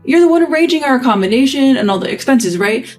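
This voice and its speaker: Woman reading a shrugging lazy young husband, offhand, fairly high voice. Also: expressive, fast talker, faint music behind. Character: indifferent young husband, passive and evasive.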